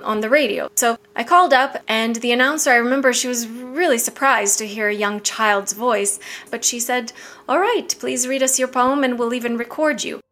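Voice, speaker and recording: A woman's voice: fairly high pitch, fairly bright tone. Reflective Bosnian-born woman around forty. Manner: reflective, measured, with some restarts. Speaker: interviewee. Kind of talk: personal recollection.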